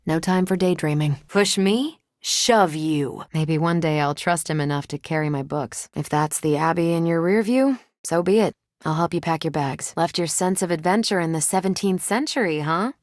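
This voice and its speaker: A woman playing a confident, wry and brisk superhero soldier in a video game, with a casual, assertive way of talking. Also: on the low side, a little mellow, dry recording, natural voice. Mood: dry, casual. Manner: casual, dry teasing, assertive. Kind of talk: video game character dialogue.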